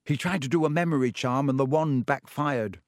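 A man voicing an eager, earnest teenage boy wizard who talks quickly. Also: medium pitch, dry recording, studio quality, lightly acted.

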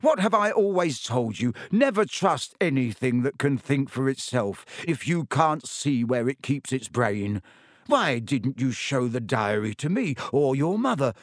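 A man's voice: mid-range voice, big pitch swings, a little slow, no room sound, studio quality. A man voicing a flustered middle-aged father who sounds stunned and scolding.

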